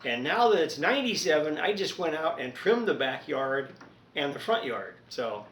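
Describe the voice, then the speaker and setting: A man chatting casually in an unhurried, rambling, folksy way, as a hobbyist host of a home repair video recorded indoors. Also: a little high, very animated, a bit bright, slight background noise.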